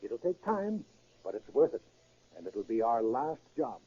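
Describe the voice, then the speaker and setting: An actor playing a confident, self-assured safecracker boss in a 1930s radio drama. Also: male voice, mid-range voice, very animated, dark tone, slightly rough. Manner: brisk, self-assured, emphatic. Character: cocky, confident male safecracking gang boss. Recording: muffled 1930s radio recording.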